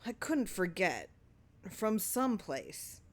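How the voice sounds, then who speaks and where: A woman voicing an unperturbed, watchful man in a short story, drawling and musing in a measured way. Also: medium pitch, huge pitch swings, full character voice. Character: unperturbed, watchful male film man, musing over a memory.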